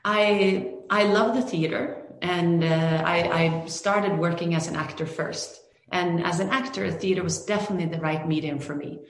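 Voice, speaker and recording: Low-voiced Norwegian woman, echoey room. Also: fairly even intonation, normal speed, hardly any pauses. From Norway. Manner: thoughtful, with many restarts and fillers. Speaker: film director. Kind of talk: interview answers.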